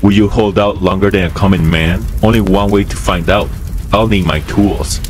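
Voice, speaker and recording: A man acts out a cruel soldier in a fantasy game story, low and menacing. He speaks with deliberate, sinister curiosity, with music and rain behind him. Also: fairly even intonation, natural voice. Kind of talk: fan dub voice acting.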